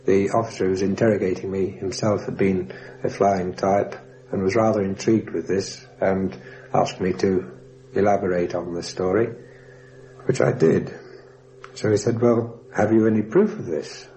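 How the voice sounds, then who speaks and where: A man giving a measured, orderly first-person account of his time as an airman and prisoner of war for a documentary. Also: low-pitched, steady tone, dark tone, rough recording. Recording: faint hum, 1960s radio documentary recording.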